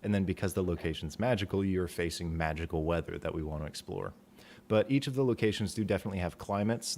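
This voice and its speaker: Soft-spoken livestream host, very low voice, quiet delivery, casual. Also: male voice, slight background noise. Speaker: author and stream host.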